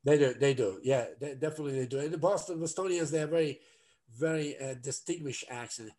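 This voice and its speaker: A middle-aged man with a Croatian accent chats casually as an interview guest, halting and repeating words as he searches for phrasing. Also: fairly high pitch, dark tone. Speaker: interview guest, actor and former athlete. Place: indoor room, on a video call. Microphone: video call at a computer.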